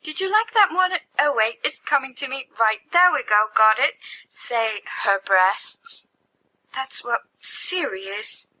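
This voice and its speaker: A woman's voice: on the high side, lively intonation, a little slow, pushed and bright, rough recording. A woman reading fiction aloud as an amateur, expressively and playfully acting out the dialogue of giddy young men. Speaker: amateur podfic reader. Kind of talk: animated dramatized fiction reading with character voices. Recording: home recording with faint background noise.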